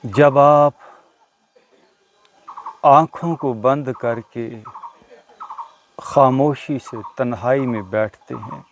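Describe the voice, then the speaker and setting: A man leading a guided meditation as a teacher, speaking deliberately with short pauses between phrases. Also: mid-range voice, a little hiss or hum. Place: outdoors in a natural setting. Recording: outdoor recording with birdsong behind. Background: birdsong.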